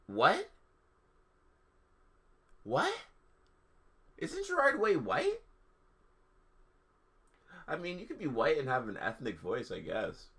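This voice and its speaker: Male streamer doing mock-dramatic readings: slightly high, sharp-edged voice, emphatic delivery, offhand asides. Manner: theatrical, mocking delivery that breaks into laughter. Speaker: livestream host.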